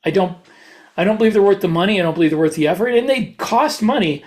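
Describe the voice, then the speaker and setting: A man with a noticeably high voice chats as a podcast co-host. His delivery is casual and conversational, and he comes across as blunt and opinionated. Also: lively intonation, fast talker.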